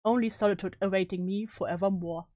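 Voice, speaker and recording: A woman's voice: mid-range voice, steady tone, quick pace, dark tone, dry recording, pitched below the performer's own voice, lightly acted. A woman playing a guilt-ridden, despairing young student in a solemn, melodramatic story reading. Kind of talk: dramatic reading of story narration.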